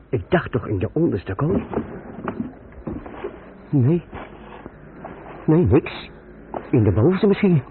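A grumbling lorry driver mutters to himself in a medium-pitched voice, making excuses as in a radio play monologue. The old recording is very tinny, with plain noise behind him. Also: male voice. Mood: uneasy, searching.

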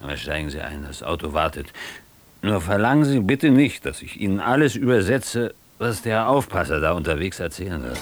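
A man's voice: low-pitched, big pitch swings, a little fast, slight background noise. A man playing a wry, literary narrator and interpreter in a radio drama, speaking with irony. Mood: ironic, eloquent. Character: wry male interpreter and narrator looking back on friends.

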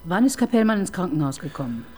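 A woman's voice: on the low side, sing-song, fast talker, a little mellow, soft background music. An actress in her fifties playing a dry, sardonic woman police chief inspector in a radio crime drama. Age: 50s. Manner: dry, sarcastic.

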